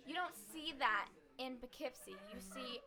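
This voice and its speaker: A woman's voice: high-pitched, lively intonation, normal speed, a crowd in the background. A teenage girl speaking as a guest in a casual chat, hesitant and full of fillers.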